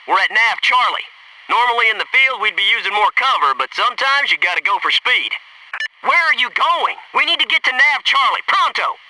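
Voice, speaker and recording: An American actor playing a brash, upbeat, teasing soldier in a military video game, with punchy, cocky, informal delivery. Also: male voice, extremely high pitch, sharp tone, distant mic, a little hiss or hum, exaggerated voice, low-quality recording. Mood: impatient.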